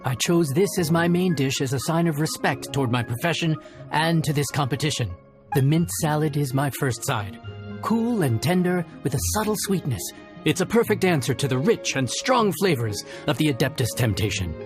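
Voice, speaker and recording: A man voicing a proud, courteous chef in a video game, speaking in a measured, earnest way over music. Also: a little high, expressive, close to an everyday voice. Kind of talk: video game character acting.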